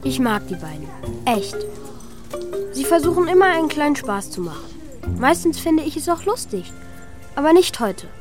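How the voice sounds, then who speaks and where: A woman's voice: high voice, sing-song, moderate pace, pauses often, over music. A woman playing a pensive, wistful child who narrates and speaks plainly in a children's radio play. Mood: sulky, wistful. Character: Mika, pensive child missing a parent at Christmas. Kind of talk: radio play first-person narration and dialogue.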